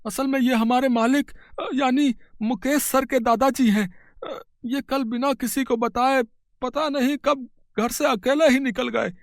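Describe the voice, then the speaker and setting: A very high-pitched, hesitant old security guard, as a man voices him in a storybook tale. He stammers through "uh" fillers and bows to everyone as his betters. The voice sits close and dry. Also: steady tone, unhurried, creaky, dry recording, heavily acted. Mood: relieved. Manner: hesitant, full of "uh" fillers, deferential. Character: humble, kind older male security guard. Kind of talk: storybook voice acting.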